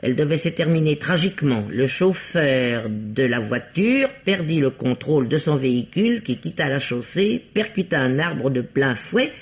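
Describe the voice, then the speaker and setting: A man's voice: a little high, animated, moderate pace, hardly any pauses. An actor playing a shrewd, bossy amateur detective who teases his partner, in theatrical, explanatory 1950s French radio mystery style. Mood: excited. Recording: dull vintage radio recording.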